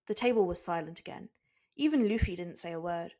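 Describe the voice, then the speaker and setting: A woman's voice: fairly low pitch, expressive, brisk, mellow. A woman reading scripted fiction aloud as a podfic narrator.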